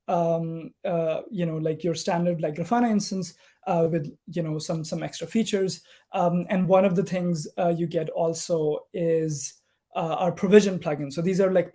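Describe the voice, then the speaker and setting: Male tech speaker, mellow tone, hesitant delivery with frequent fillers, on a video call. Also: a little high. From Pakistan. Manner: hesitant, many ums and uhs. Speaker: conference speaker, software engineer. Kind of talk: technical conference talk.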